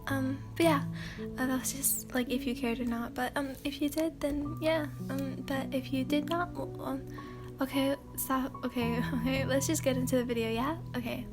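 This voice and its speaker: A woman hosting a sleepy ASMR channel, reading fan fiction aloud in a soft, hesitant voice with many fillers and throat clears. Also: high voice, steady tone, normal speed, music in the background.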